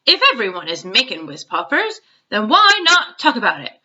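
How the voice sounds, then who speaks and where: A woman voicing a big, kindly, excitable old male giant from a storybook, with mangled grammar and nonsense words. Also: mid-range voice, normal speed, muffled recording, heavily acted. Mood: scandalized.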